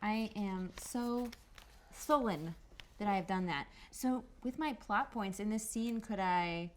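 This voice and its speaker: A woman's voice: mid-range voice, slow. A woman playing a tabletop roleplaying game, chatting casually as she works out her moves.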